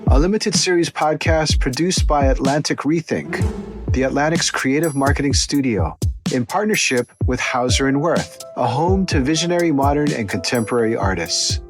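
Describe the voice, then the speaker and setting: A man hosting a podcast, giving a scripted introduction and asking interview questions in a measured, polished way. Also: mid-range voice, music in the background. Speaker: podcast host.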